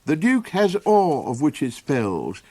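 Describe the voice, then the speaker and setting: A man's voice: on the high side, wildly swooping pitch, takes it slowly, darkish tone, pitched above the performer's own voice, exaggerated voice. A man voicing a fussy, muddled little helper creature in a storybook, making quaint, earnest pronouncements. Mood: earnest, scheming.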